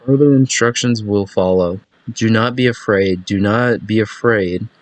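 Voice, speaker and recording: A man voicing a calm, official emergency broadcast announcer in a video game, giving a measured public warning. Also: fairly low pitch, no room sound, close to an everyday voice, rough recording. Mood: calm, grave.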